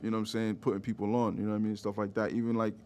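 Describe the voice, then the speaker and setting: A man's voice: lowish voice, level tone, racing, a little mellow. A man in his thirties hosting a podcast in a casual, slangy way, often checking in with "you know" phrases. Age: 30s. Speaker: podcast host.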